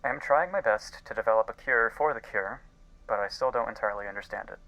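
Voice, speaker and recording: A man playing an earnest, guilt-ridden scientist in an audio drama, speaking intensely and haltingly as if recording a log. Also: fairly low pitch, sharp tone, rough recording. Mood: worried, grave. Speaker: voice actor. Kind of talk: dramatic audio-log monologue in a fiction podcast.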